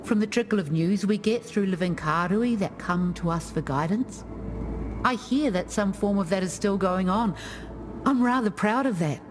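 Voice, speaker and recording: A woman playing a proud, wise ancestral chieftain in a video game, speaking in measured, dignified storytelling. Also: mid-range voice, background noise, close to an everyday voice. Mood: solemn, reflective. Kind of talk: scripted game character monologue.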